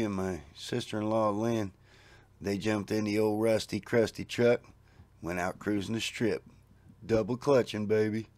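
Gravelly, low-voiced man. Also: dry recording. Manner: folksy, easygoing, chuckles at his own jokes. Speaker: garage video host. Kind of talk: casual narration over photos.

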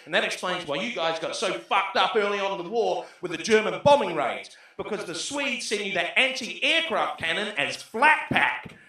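Kiwi comic guy, sweary and loud, bright punchy voice, echoey room. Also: high-pitched, sing-song, moderate pace, few pauses. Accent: New Zealand. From New Zealand. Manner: brash, sweary, shouts punchlines. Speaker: stand-up comedian. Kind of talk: stand-up comedy set. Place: comedy club stage, brick wall. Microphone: handheld mic.

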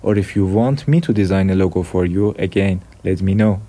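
A young man from Iran with an Iranian accent, hosting a software tutorial with plain step-by-step instructions. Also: low voice, brisk. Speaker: tutorial host. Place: dim room at a desk.

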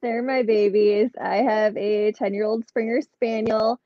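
A woman's voice: fairly high pitch, steady tone, a little slow, no echo. A middle-aged woman, a podcast guest, chatting in an upbeat way and telling stories over a video call from a room at home. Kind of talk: casual interview chat. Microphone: webcam on a video call. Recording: dull lo-fi video call audio.

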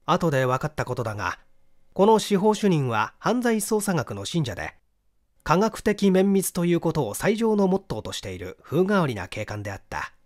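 A man's voice: fairly high pitch, very animated, moderate pace. A man reading a mystery novel aloud as scripted literary narration. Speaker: audiobook reader.

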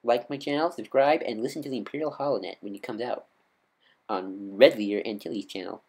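A man with a nasal voice giving casual, off-the-cuff fan commentary as a vlogger, rambling and halting as he corrects himself. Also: mid-range voice, vocal fry, darkish tone, husky. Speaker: YouTube vlogger and podcaster.

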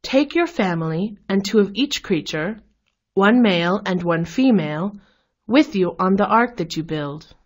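Woman narrator as solemn, husky storybook God. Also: mid-range voice, lively intonation, a little slow, dull audio. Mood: solemn, commanding. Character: God, solemn, loving and commanding.

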